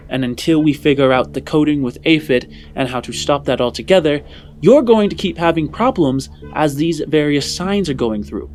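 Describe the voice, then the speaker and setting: A man's voice: on the high side, a little mellow, natural voice. A man playing a hardened, blunt male enforcer in a tabletop game, stern and matter-of-fact.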